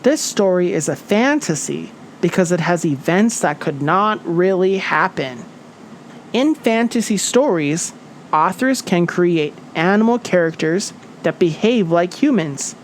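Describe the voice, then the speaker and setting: A woman teacher giving a children's story read-aloud lesson in a deliberate, expressive, instructional way. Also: fairly low pitch, slight background noise.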